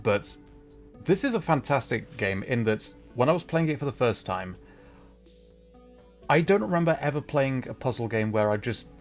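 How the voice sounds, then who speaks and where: A man's voice: medium pitch, lively intonation, moderate pace, long pauses, soft background music. A man hosting a game livestream in a casual, chatty and jokey style, lightly self-deprecating. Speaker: livestreamer, host. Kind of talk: casual game stream chat.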